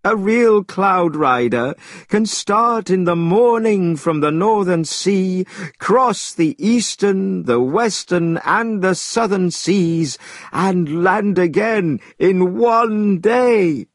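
A man voicing a stern old male immortal teacher, speaking slowly in drawn-out pronouncements. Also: high-pitched, lively intonation, no echo, clean studio sound, pitched above the performer's own voice, exaggerated voice. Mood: lofty. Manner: slow, drawn-out lofty pronouncements.

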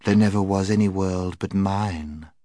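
A man voicing a sweet, coaxing, half-mocking witch queen in a storybook, with soft, slow, courtly speech. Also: low-pitched, steady tone, dry recording, clean studio sound. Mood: hushed, hypnotic. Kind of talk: dramatised storybook reading.

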